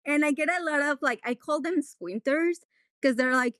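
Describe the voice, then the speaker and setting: A woman's voice: high-pitched, moderate pace, fairly bright tone, dry recording, studio quality. A woman from Mexico with a Mexican accent and Spanish as her first language, answering interview questions conversationally as a veterinarian. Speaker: veterinarian, podcast guest.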